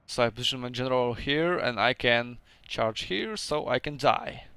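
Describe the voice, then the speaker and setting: A man's voice: medium pitch, normal speed, sharp tone, clear, resonant tone, no room sound. A young man with a Polish accent casually commentating his own strategy game match, chatty and laughing often, with hesitant second-language phrasing.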